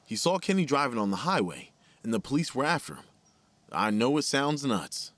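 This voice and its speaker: A man's voice: mid-range voice, sing-song, normal speed, fairly bright tone, dry recording. An actor playing a worried, weary father in an audio drama, speaking in a blunt, plain-spoken way. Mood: troubled, blunt.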